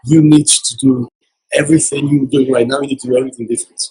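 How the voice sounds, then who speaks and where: Hoarse, husky man losing his voice. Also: medium pitch, little pitch movement, fast talker, darkish tone, low-quality recording. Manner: earnest, repetitive motivational talk. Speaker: interview guest. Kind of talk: casual interview. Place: casino resort event.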